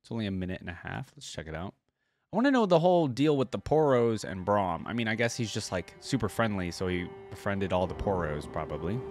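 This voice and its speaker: A man's voice: fairly low pitch, very animated, a little fast, faint music behind. A man hosting a reaction video with informal, easily impressed commentary, reading things out and reacting as he goes.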